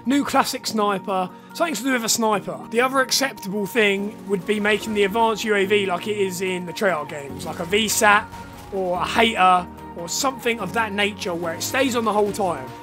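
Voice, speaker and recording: A young man with a hoarse, partly lost voice, chatting casually over gameplay on a headset and swearing at the game. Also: high-pitched, fairly quick, over music. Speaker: gaming YouTuber and rugby coach. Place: home room, indoors.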